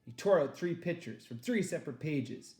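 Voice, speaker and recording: A man reading a children's novel aloud with expressive narration, recorded in an echoey room. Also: on the high side, moderate pace. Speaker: read-aloud reader.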